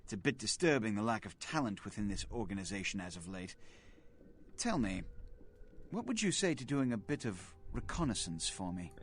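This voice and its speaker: A condescending vampire prince, formal, expressive pitch, faint hiss. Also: male voice, moderate pace. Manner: formal, condescending, precise diction. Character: cold, imperious vampire prince, smooth businessman.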